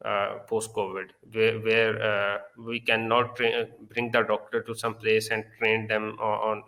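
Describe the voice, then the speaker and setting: A man with a Pakistani accent giving a conference talk, halting often with long filler pauses. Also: lowish voice, vocal fry, pushed and bright. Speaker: conference speaker.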